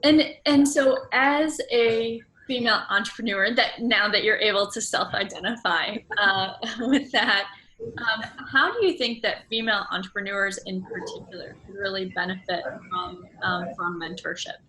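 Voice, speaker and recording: Bright-voiced woman interviewer, some background noise. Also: mid-range voice, moderate pace, rough recording. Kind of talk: casual conversational interview.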